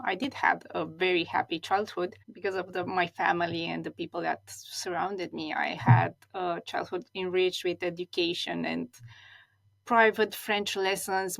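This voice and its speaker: A woman's voice: lowish voice, creaky. A woman in her thirties from Romania, a non-native speaker whose first language is Romanian, talking reflectively as an interview guest with frequent restarts and repeated words. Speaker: guest, stylist.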